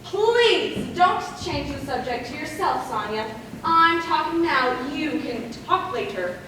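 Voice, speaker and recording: A woman's voice: high voice, lively intonation, slow pace. A woman acting in a stage play as a vain, self-dramatizing movie star in her forties, with a grand theatrical manner. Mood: grandiose. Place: stage in a large hall, far from microphone. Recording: distant, echoey, noisy stage recording.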